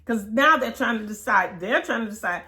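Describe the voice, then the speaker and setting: A woman in her sixties telling personal stories as an interview guest, candid and expressive, often acting out what was said. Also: a little high, a little fast. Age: 60s. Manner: expressive, quotes herself and others as she tells it. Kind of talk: candid personal storytelling in conversation.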